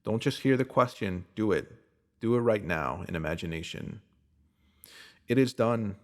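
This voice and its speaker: Low, creaky-voiced man reading self-help. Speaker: narrator. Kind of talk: scripted reading of a self-help spiritual post.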